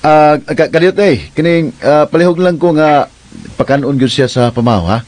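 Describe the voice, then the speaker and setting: An actor playing a concerned, puzzled office boss in a radio drama, speaking conversationally with a Cebuano Filipino accent and some English. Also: male voice, a little high, moderate pace, a little mellow, no echo, close to an everyday voice. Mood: polite, casual. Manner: earnest, conversational, code-switches into English.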